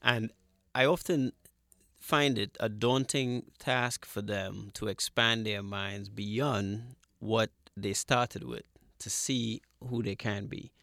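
Male radio host, low creaky voice, close dry sound, formal and deliberate interview delivery. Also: steady tone, a bit bright, no echo. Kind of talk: measured interview questioning.

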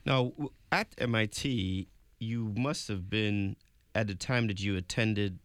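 A man's voice: lowish voice, measured pace, dry recording. A man hosting an interview show, reading a polished introduction and asking composed questions.

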